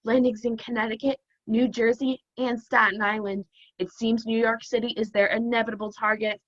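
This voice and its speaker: A woman's voice: a little high, level tone, moderate pace, a bit bright. A teenage woman playing a solemn, overdramatic radio news anchor, announcing formally over a video call. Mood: grave, urgent. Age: teens. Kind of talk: mock radio news bulletin. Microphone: computer microphone on video call. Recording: dull, muffled video-call audio.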